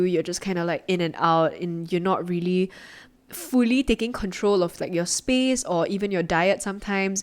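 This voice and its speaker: Chatty young Singaporean woman, lowish voice. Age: 20s. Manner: chatty, lots of "like" fillers and quick asides. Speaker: podcast host. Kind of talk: casual solo podcast talk. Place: her own apartment, indoors.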